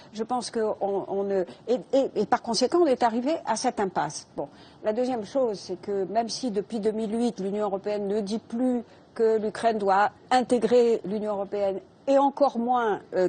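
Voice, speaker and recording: An older woman speaking as a guest in a televised debate, measured and hesitant, with frequent fillers as she builds her argument. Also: medium pitch, slight background noise. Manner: measured, hesitant with many fillers, soft laughing start.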